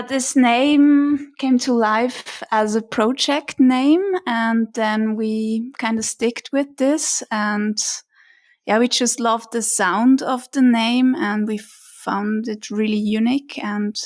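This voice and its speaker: A woman with a Swiss German accent, a band's guitarist and singer, talking in a relaxed interview. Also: a little high. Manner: relaxed, says "yeah" a lot. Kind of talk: casual interview.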